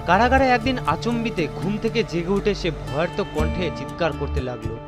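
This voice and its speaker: A man's voice: high voice, expressive, a little fast. A man narrating a mystery story in a theatrical, suspenseful, scripted style. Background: faint music.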